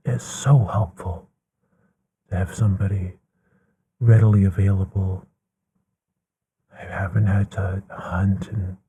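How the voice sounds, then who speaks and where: Breathy, low-voiced gentle vampire played by a man. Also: heavily acted. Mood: tender, grateful. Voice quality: breathy, half-whispered. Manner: hushed, musing, unhurried with trailing pauses. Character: gentle, bookish, long-lived male vampire companion. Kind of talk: soothing ASMR roleplay monologue.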